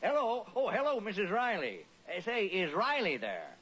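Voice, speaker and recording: An actor playing an affable, matter-of-fact suburban husband with a folksy, casual manner in 1940s radio comedy. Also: male voice, high-pitched, big pitch swings, moderate pace, fairly bright tone, slightly rough, heavily acted. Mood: easygoing. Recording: dull, very noisy old radio recording.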